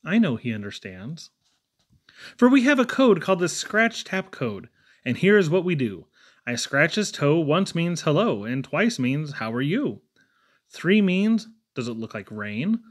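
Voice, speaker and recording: Higher-voiced man reading children's poems theatrically. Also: big pitch swings, unhurried. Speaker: poetry reader. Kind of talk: expressive read-aloud of children's poems.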